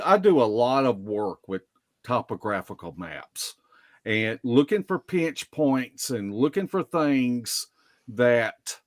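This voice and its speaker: A man telling field stories casually as a podcast guest, in a halting way with drawn-out pauses. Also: medium pitch, no echo, studio quality. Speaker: guest, Bigfoot field investigator. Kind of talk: casual storytelling in a live podcast interview.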